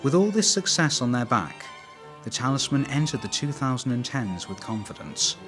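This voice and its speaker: A man's voice: medium pitch, very animated, normal speed, fairly bright tone. A man reading scripted documentary narration, with wry asides. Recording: voice over background music.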